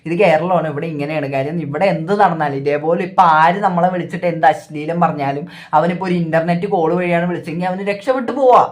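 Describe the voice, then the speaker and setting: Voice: mid-range voice, fast talker, hardly any pauses. A reaction video host with a Kerala accent, giving opinionated, repetitive casual commentary.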